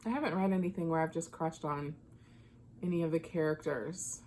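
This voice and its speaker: A woman's voice: low voice, steady tone, fairly quick, a little mellow, a little hiss or hum. A woman giving an informal, conversational book review, thinking aloud as she goes. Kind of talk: casual book chat vlog.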